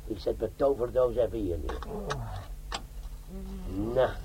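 An actor playing a brash, wry older bomb disposal sergeant in a comic crime radio play, sardonic and fond of lecturing. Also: male voice, lowish voice, animated, a little slow, noisy background. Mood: dry, scheming.

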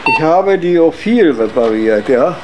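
A man's voice: fairly high pitch, expressive, a little slow, a little mellow, a little hiss or hum, rough recording. An older man chatting plainly and matter-of-factly as an interviewed tradesman. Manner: matter-of-fact, laconic. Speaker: office machine mechanic being interviewed. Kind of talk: casual, plain-spoken chat.

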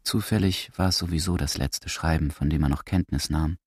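A man narrates literary prose for a radio drama in a measured, deliberate, emphatic way. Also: rumbling low, dry recording, studio quality. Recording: clearly noisy recording with clicks.

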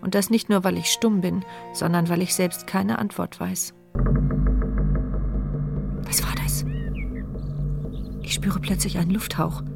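A mute woman's inner voice in a radio drama, low, gravelly, over music. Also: fairly even intonation, unhurried, pauses often. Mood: alarmed. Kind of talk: inner monologue in a radio play. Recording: radio play with music behind.

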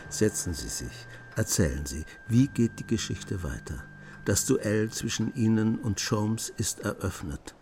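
An actor playing a writer who narrates a master thief's adventures in a radio play, with measured, emphatic storytelling. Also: male voice, on the low side, a bit bright, full character voice. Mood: inviting, curious. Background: guitar music.

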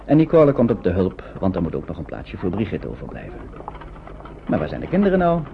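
A man's voice: sits low, huge pitch swings, quick pace, vocal fry, full character voice. A man reading scripted narration as the storyteller of a wartime radio play. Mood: storytelling. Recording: dull old radio recording, faint noise.

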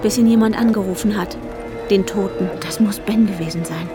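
A woman's voice: mid-range voice, fairly quick, lightly acted. An actress playing an anxious, panic-prone woman narrating her inner turmoil in a German radio drama, often dropping into whispers. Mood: anxious, brooding. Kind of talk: German radio drama, intimate first-person inner monologue. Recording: voice over prominent music.

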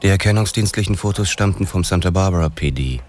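A man narrating a radio drama in the first person, in a measured, hard-boiled way, with faint music underneath. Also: deep voice, darkish tone.

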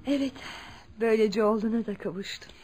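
An actress playing a compassionate, welcoming wife and elder-sister figure, gentle and consoling, in a radio drama. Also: female voice, fairly high pitch, a little fast, faint music behind, lightly acted. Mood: tender, welcoming.

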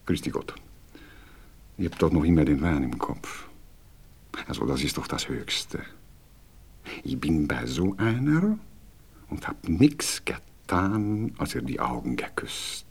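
An actor in a radio play voicing a hungover, sentimental Viennese gentleman who talks in a hesitant, inquisitive, musing way. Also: a bass voice, very animated, a little slow, gravelly, long pauses, husky, exaggerated voice. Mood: musing, rueful. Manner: hesitant, inquisitive, rambling to himself. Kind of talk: naturalistic radio play acting.

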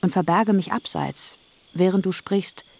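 A woman's voice: mid-range voice, unhurried, darkish tone. An actress playing Rachel, a young barren woman pleading earnestly with her sister in a radio play. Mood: wistful.

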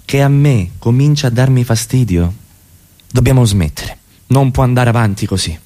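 A man's voice: medium pitch, moderate pace, warm tone, close to an everyday voice. A man acting in radio theatre as a brooding married lover, needling and accusatory, insistently repeating his questions and demands. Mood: cold, firm.

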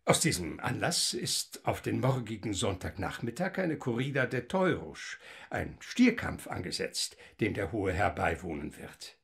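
An actor playing a courteous, cultured professor in a radio drama, speaking formally with small hesitations. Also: male voice, lowish voice, sing-song, unhurried, gravelly, exaggerated voice. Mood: genial, inviting. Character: courteous, cultured male professor, hospitable and genteel. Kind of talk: German radio drama acting.

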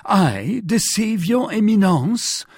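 Man acting a high-pitched, fawning commoner prisoner. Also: big pitch swings, breathy edge, dry recording, studio quality, exaggerated voice. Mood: protesting. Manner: exclaiming, drawn-out, servile pleading. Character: fawning, gullible, overawed commoner mercer prisoner.

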